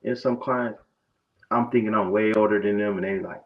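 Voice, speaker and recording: This is a man in his forties with a gravelly, fairly low voice. He tells his story loosely, starting over and repeating himself as he talks. The recording sounds dull and muffled. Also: fairly even intonation, moderate pace. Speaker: barber guest. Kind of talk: casual interview talk.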